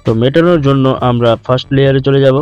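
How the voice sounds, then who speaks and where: A man narrating a step-by-step software tutorial in an instructional way, repeating steps as he goes. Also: mid-range voice, very fast, clean tone. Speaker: tutorial host. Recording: dull lo-fi voice track with music. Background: background music.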